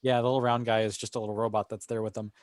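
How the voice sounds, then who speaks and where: A man's voice: on the low side, level tone, very fast, no room sound. Dry-sounding man explaining things on a podcast. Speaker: podcast co-host. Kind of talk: casual panel chat.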